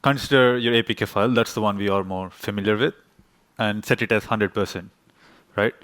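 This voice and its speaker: Male tech speaker, lowish voice, dry sound, halting explanations. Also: fairly even intonation, fairly bright tone, no echo. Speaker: conference speaker. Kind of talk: technical presentation.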